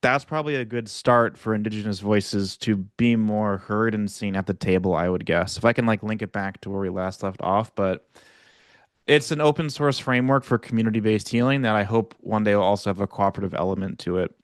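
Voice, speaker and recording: A young man talks through his ideas on a panel, wandering with fillers and self-corrections. His voice is low-pitched, smooth and resonant, and the sound is dry and close. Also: a little fast, no echo. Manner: rambling, frequent fillers and restarts. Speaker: panel guest. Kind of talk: casual panel discussion.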